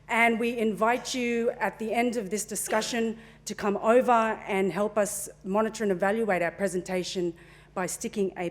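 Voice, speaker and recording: Chatty woman presenting at a forum. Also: medium pitch. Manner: relaxed, chatty presenting with fillers and asides. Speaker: conference presenter. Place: conference room. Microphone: handheld mic.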